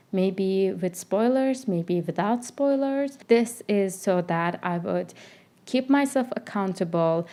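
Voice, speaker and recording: A woman's voice: mid-range voice, mellow. A young woman, not a native English speaker, chatting casually in a life-update vlog, with frequent fillers and self-corrections. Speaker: vlogger.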